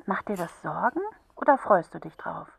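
A woman acting in a children's radio play as a patient, caring helpline counsellor who questions gently and attentively. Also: lowish voice, expressive, normal speed, vocal fry, breathy edge, dry recording, exaggerated voice. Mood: gentle.

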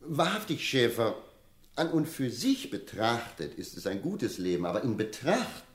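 A man's voice: mid-range voice, very animated, moderate pace, natural voice. An actor playing a male stage clown, drawling, hesitant and puzzled, on an echoing stage in a radio drama. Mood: droll, puzzled.

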